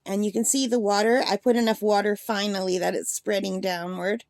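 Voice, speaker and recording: A woman with a slightly gravelly voice chatting and gushing as she swatches paints in a casual demo at her craft table. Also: mid-range voice, flat delivery, fairly quick, darkish tone, no room sound. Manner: chatty and gushing, thinks aloud. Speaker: art supply reviewer.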